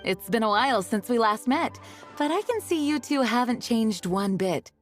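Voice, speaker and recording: A woman voicing a poised, kindly young knight commander in an anime game, polite and measured. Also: fairly high pitch, animated, faint music behind, close to an everyday voice. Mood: welcoming.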